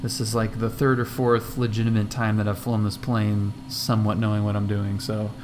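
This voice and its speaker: A man chatting casually on a flight sim livestream, speaking haltingly and trailing off between remarks and radio calls. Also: fairly low pitch, flat delivery, music in the background. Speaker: flight sim streamer and pilot. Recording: clear cockpit noise behind voice.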